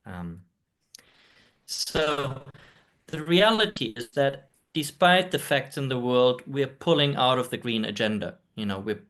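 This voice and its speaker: A man's voice: mid-range voice, a bit bright. Man from Germany, hesitant earnest presenter on a video call. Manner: hesitant, many ums, earnest.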